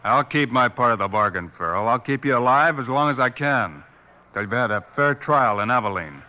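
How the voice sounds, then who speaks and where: An American actor playing a stern, steadfast marshal, the honorable hero of an old-time radio western. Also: male voice, medium pitch, lively intonation, a little fast, pushed and bright. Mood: firm. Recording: dull, hissy old radio recording.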